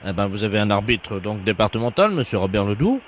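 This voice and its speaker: A man playing a solemn, bureaucratic linesman in a prank phone call, formal, hesitant and deadpan. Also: lowish voice, fast talker. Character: solemn, bureaucratic linesman relaying a referee's decision. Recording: slightly noisy phone line.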